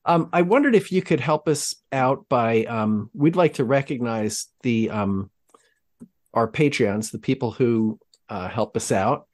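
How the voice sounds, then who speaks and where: A man hosting a trail running podcast, talking casually in rambling, halting sentences full of ums. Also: medium pitch. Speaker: podcast host. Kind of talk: casual chat.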